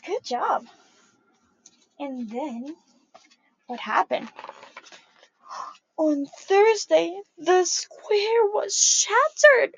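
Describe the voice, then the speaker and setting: A young woman reading a picture book aloud to young children in a sing-song, animated way, calling them her friends. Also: very high voice, drawn-out words, creaky, pauses often, low-quality recording. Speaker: early childhood storyteller.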